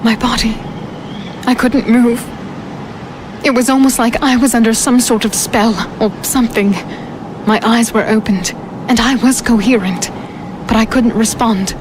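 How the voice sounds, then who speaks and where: A woman's voice: fairly high pitch, background noise, natural voice. A woman playing a defiant human fighter, telling her ordeal in first person as dramatic fantasy storytelling. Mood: uneasy, reflective. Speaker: narrator and heroine.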